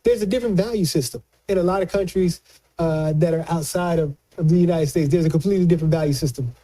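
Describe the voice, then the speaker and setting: A man's voice: on the high side, fast, warm tone. A man giving casual political commentary, speaking emphatically and repeating phrases for stress.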